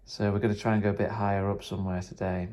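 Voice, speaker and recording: A man's voice: fairly low pitch, little pitch movement, rapid-fire, a little mellow, a little hiss or hum. A man explaining things casually and tentatively in an unscripted, rambling vlog. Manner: tentative, self-deprecating, rambling. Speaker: vlogger, hobbyist trying out radio gear.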